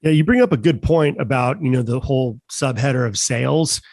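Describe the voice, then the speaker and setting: A man with a croaky voice talking on a sales panel in a relaxed, measured way, with plenty of fillers. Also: medium pitch, dry recording. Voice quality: croaky, gravelly. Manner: measured, folksy, with many fillers. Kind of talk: casual panel discussion.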